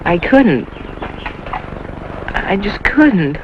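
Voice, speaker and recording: A young male actor playing an eager, tender-hearted country boy in an earnest, excitable, folksy way in a 1950s television drama. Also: sits high, very slow, exaggerated voice. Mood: ashamed, tender. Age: child. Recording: dull, noisy 1950s TV soundtrack.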